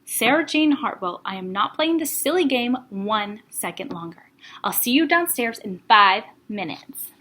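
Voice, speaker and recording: A woman reading a children's storybook as a firm, coaxing man, brisk and cajoling. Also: fairly high pitch, animated, a bit bright. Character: firm, coaxing adult man, Mister Hartwell. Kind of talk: children's storybook read-aloud.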